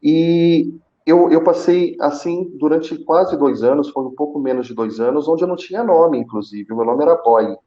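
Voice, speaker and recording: A Brazilian man speaking Portuguese with a Brazilian accent, telling his own story conversationally as an interview guest, haltingly, with repeated words and pauses. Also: a little high, dark tone, muffled recording. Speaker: guest, call center senior manager. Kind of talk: conversational personal account in a live interview.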